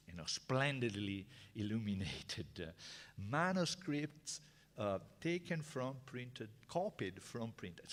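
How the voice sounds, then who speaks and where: An older man with an Italian accent gives a university seminar lecture, hesitant and chatty, with many fillers and restarts. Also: mid-range voice. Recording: reverberant lecture hall.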